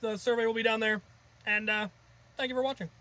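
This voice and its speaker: A man's voice: high-pitched, little pitch movement, fast, sharp tone. A man telling stories in a casual, rambling vlog style, thinking aloud with a jokey, self-deprecating manner. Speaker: hobby video creator and host.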